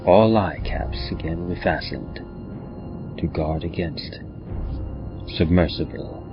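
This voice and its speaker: A man narrating horror stories and poetry in a measured, solemn, theatrical style, like a radio drama. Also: low-pitched. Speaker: narrator and co-host. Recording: muffled audio over eerie music.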